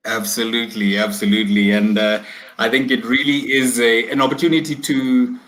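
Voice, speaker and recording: A man's voice: medium pitch, flat delivery, moderate pace, a bit bright. A man hosting an online executive interview, upbeat and chatty, with many fillers and restarts. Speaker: host. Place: at home, video call. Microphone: video call.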